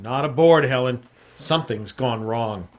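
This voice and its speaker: A man's voice: mid-range voice, measured pace, close to an everyday voice. An actor playing a hard-boiled, sly American freelance newspaperman, with a clipped, wry delivery in an old-time radio drama. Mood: tense narrating. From United States, San Francisco. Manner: clipped, wry, world-weary storytelling. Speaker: lead actor and narrator. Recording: vintage radio broadcast.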